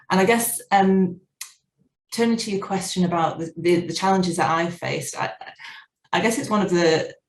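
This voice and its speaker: A woman in her forties, not local to Northern Ireland, answering interview questions in a measured way with frequent restarts. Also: low-pitched, reverberant, rough recording. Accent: non-local, unplaced. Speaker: guest, business organisation director.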